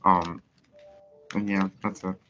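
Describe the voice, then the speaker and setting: A man's voice: sits low, level tone, takes it slowly, bright, forceful tone, faint music behind. A teenage boy, a student guest who explains things in a casual, matter-of-fact way. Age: teens. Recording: muffled lo-fi recording.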